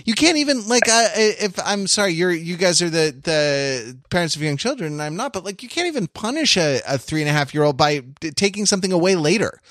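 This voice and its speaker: A man's voice: high-pitched, lively intonation, a little fast, few pauses, a bit bright, no room sound. Man in dry, close podcast chat. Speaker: podcast panelist. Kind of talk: casual, analytical podcast chat.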